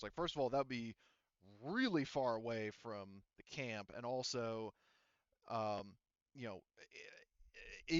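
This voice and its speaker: A man running a tabletop game, thinking out loud with plenty of fillers and the odd curse. His voice is smooth and clear, recorded close and dry. The voice chat audio sounds a little muffled. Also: mid-range voice, no echo. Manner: rambling, filler-heavy, profane. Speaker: game master.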